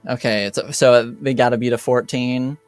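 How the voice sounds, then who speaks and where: A man running a tabletop game as game master, narrating casually and haltingly as he thinks aloud. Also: mid-range voice, steady tone, smooth, soft background music.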